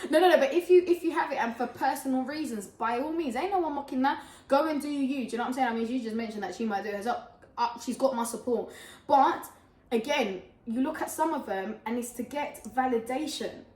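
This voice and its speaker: A young woman from Colombia co-hosting a chat show, loud and hyper, laughing often as she talks. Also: high voice, brisk, roomy sound. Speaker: podcast co-host. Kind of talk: casual chat.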